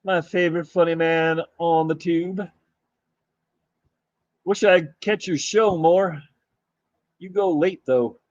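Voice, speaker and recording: A man hosting a live stream, chatting casually and greeting viewers in a rambling, halting way while his voice is going hoarse. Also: on the high side, fairly even intonation, dry recording, lo-fi sound. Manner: rambling, halting, with many fillers and restarts. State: losing his voice. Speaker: livestream host.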